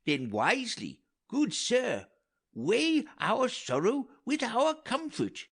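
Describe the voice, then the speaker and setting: A man's voice: extremely high pitch, wildly swooping pitch, slow, fairly bright tone, slightly rough, pitched above the performer's own voice, heavily acted. A man voicing a talkative, optimistic old royal counsellor in an earnest, wordy Shakespearean audiobook reading. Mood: earnest, consoling.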